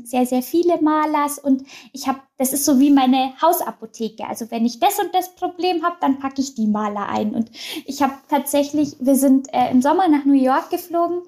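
A woman's voice: high-pitched, mellow. A young woman as an interview guest, talking openly and chattily with many fillers and restarts. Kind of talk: casual personal interview.

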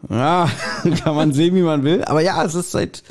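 A man's voice: a little high, normal speed. A man co-hosting a podcast, chatting casually with a wry, self-deprecating, complaining manner. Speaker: podcast co-host.

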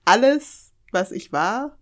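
A woman's voice: fairly high pitch, animated, slow pace. A woman in her thirties, a podcast guest, speaking reflectively and emphatically, dropping English phrases into her talk. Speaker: interview guest. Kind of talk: podcast conversation.

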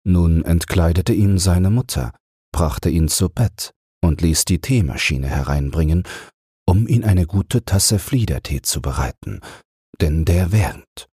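A man narrating a bedtime fairy tale audiobook in a calm, soothing, measured manner. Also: low-pitched, slightly rough, dry recording, studio quality.

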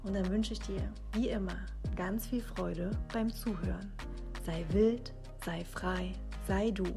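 A woman hosting a podcast, gently guiding listeners to relax before introducing her guest. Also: medium pitch, steady tone, measured pace, creaky, music in the background. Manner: warm, soothing guidance in the intro. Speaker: podcast host. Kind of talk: podcast intro and interview questions.

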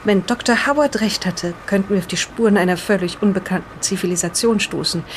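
A woman playing a polite, careful reader in an improvised audio play, speaking in a measured and slightly halting way. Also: medium pitch, a little hiss or hum, lightly acted. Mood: careful, studious. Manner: polite, measured, halting over unfamiliar words. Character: polite, careful woman reading an explorer's old diary. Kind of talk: improvised in-character audio play with diary reading.

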